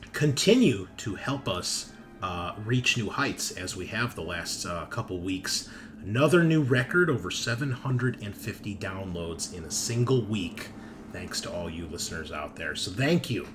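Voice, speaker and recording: A man hosting a tabletop gaming show as game master, chatting casually through announcements with joking, salty banter. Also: fairly low pitch. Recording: noticeable background noise.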